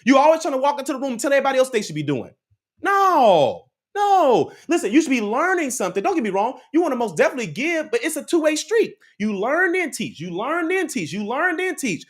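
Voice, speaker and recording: Guy doing a live credit stream, super animated, keeps repeating himself like a pep talk. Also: very high voice. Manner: preachy, repeats key lines, laughs often, talks to the chat. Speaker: credit advice livestream host. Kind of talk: live motivational chat with viewers.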